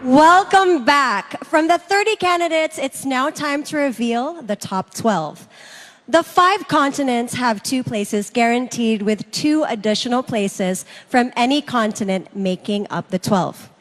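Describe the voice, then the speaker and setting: A woman announcing as host of a live show, emphatic and pausing for drama. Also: a little high, expressive, in a large hall. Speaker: pageant host. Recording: music playing behind the voice. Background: music.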